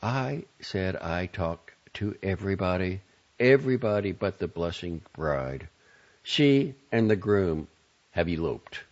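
A man's voice: low voice, lively intonation, slow, muffled recording, full character voice. A man voicing a brash, bossy, irritable woman in an audiobook, with a sardonic, clipped, emphatic delivery. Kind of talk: audiobook character dialogue.

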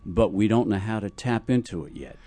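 An older man talking casually as a radio host, explaining things in a deliberate way. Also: lowish voice, expressive, dark tone.

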